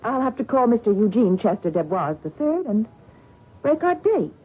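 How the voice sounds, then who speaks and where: A woman's voice: a little high, big pitch swings, normal speed, slightly rough. An actress playing a cool, flippant young woman in a radio drama, with dry, curt replies. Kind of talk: 1970s radio drama acting. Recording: dull vintage radio recording with music.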